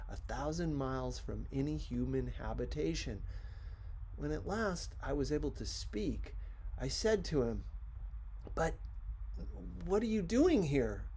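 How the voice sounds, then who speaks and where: A man reading a storybook as an audiobook narrator, with dramatic, deliberate phrasing. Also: mid-range voice, gravelly, darkish tone, a little hiss or hum, low-quality recording. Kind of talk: expressive scripted storybook narration.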